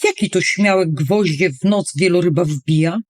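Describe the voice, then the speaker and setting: A woman's voice: on the low side, moderate pace, dry recording, studio quality, natural voice. Woman voicing a huge grumpy scolding whale. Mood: indignant scolding. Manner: scolding, indignant.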